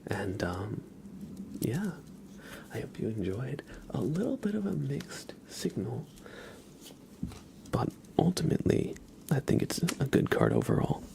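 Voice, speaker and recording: A man hosting an ASMR livestream in a hushed, breathy whisper, chatting casually with frequent pauses, close to the microphone. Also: medium pitch, wildly swooping pitch. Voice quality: breathy whisper with creaky voiced patches. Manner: unhurried, hushed, pausing often. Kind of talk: whispered ASMR, casual tarot card reading and chat with viewers.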